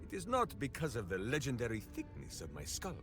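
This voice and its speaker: An actor playing a big, simple-hearted heroic warrior in a video game, righteous and grandiose, speaking in a theatrical manner. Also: male voice, mid-range voice, wildly swooping pitch, brisk, music in the background. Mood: blustering, earnest. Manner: theatrical, grandiose, speaks of himself in third person. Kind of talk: video game character acting.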